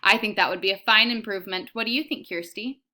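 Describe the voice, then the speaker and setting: A woman's voice: medium pitch, quick pace, bright, forceful tone, natural voice. A woman reading a storybook as a patient, slightly exasperated mother soothing her child. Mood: explaining.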